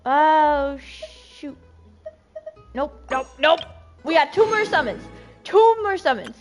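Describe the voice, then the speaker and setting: A woman with a high voice streams a game over prominent music. She talks at a slow pace in a casual way, with a dramatic, excitable manner. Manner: excitable, dramatic, pleading exclamations. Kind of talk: live gameplay commentary, casual chat.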